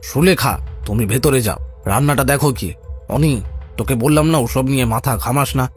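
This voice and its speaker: A man playing a stern, secretive and protective middle-aged village uncle in an animated story, admonishing and commanding. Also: mid-range voice, fairly quick, lightly acted. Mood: firm, secretive. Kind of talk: animated audio story dialogue. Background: music.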